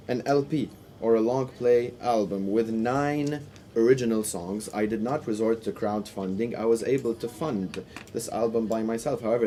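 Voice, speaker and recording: A man interpreting a panel discussion live, in halting phrases with restarts and sudden bursts of speed. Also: lowish voice, mellow, slight background noise. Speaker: simultaneous interpreter.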